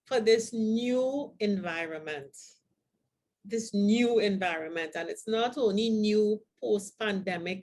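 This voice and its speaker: An older woman co-hosting a talk show, speaking to viewers in a deliberate, emphatic manner. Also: mid-range voice. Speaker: co-host of a show for the over-fifties.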